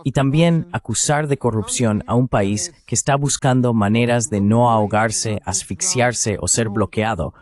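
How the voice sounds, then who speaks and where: A man's voice: medium pitch, unhurried, few pauses, a little mellow, close to an everyday voice. A man giving a translated voice-over of an interview guest's political analysis.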